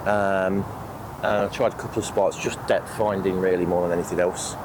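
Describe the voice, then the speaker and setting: A British man with a croaky, sniffly voice from a cold, chatting casually and a little hesitantly as a fishing vlogger outdoors. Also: deep voice, steady tone, moderate pace, noisy background. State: has a cold. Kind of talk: casual outdoor vlog talk to camera. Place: outdoors on a lake bank.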